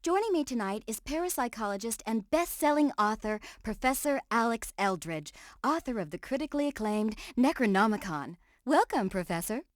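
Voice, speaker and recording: A woman's voice: fairly high pitch, lively intonation, moderate pace, few pauses, dry recording, clean studio sound, natural voice. A woman playing a gushing, theatrical host of an occult television show in a video game. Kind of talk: video game voice acting, TV presenting.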